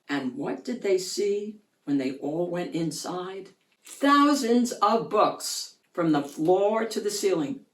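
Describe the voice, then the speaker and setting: A woman reading a rhyming picture book aloud for children, in an expressive, sing-song way. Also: medium pitch. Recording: roomy echo, distant mic.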